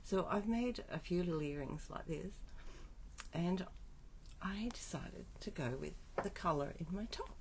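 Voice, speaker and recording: A woman's voice: fairly low pitch, animated, a little slow, darkish tone. A woman with a scratchy voice from a cold, chatting casually while she demonstrates card making on a live stream. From Australia. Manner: chatty, asides to herself while setting up. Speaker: craft demonstrator.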